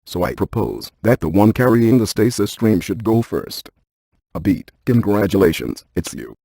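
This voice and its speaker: An actor playing a brainy, dryly cautious ghost hunter scientist in a video game, speaking in a deadpan, precise, technical way. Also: male voice, fairly low pitch, moderate pace, vocal fry, no echo. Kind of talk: scripted video game character dialogue.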